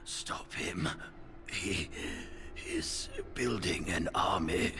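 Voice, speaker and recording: A battered old mage from a fantasy game, low and weak. He drags his strained words out very slowly, exhausted yet defiant. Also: background noise. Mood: pained defiance. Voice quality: weak, strained, breath-broken. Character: battered old First Enchanter mage, weary but defiant.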